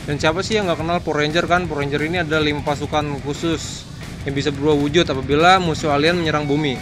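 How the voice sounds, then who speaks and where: A man's voice: on the high side, steady tone, fast. A young man, hosting a vlog in casual, nostalgic chat. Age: young adult. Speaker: YouTube vlog host. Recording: background music behind the voice.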